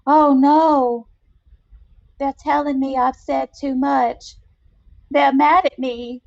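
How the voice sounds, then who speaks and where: A woman's voice: sits high, steady tone, takes it slowly, creaky, darkish tone, dull audio, heavily acted. A woman voicing a coy, loving young Southern belle in a dramatic story reading, sweet and playful with a Southern US accent. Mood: worried.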